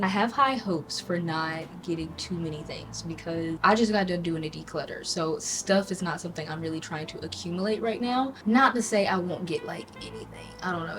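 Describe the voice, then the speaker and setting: A young woman vlogging in a chatty, casual way, her voice raspy from a sore throat, laughing often and trailing off with fillers. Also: lowish voice. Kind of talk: casual vlog chat.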